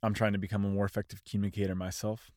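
A man's voice: on the low side, flat delivery, rapid-fire, mellow, no echo. Man, podcast host, stuffy and a bit nasal, easygoing unscripted talk, dead dry recording. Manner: loose, self-deprecating, unscripted one-take delivery. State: congested, slightly nasal. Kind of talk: casual solo podcast talk.

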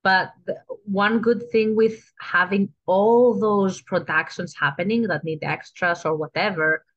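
A woman's voice: medium pitch, fairly even intonation, unhurried, a bit bright, dull audio. A woman with a Greek accent, a trained actress and guest on a podcast, talking casually and often restarting her sentences. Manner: chatty, restarts sentences, asks "you know?" often.

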